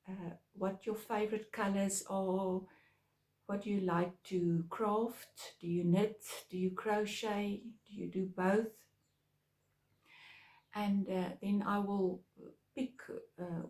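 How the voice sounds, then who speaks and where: A woman's voice: medium pitch, dark tone, echoey room. A woman from South Africa, with Afrikaans as her first language and an Afrikaans accent, chatting casually and hesitantly to her viewers as a craft podcast host. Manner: hesitant, many pauses and fillers.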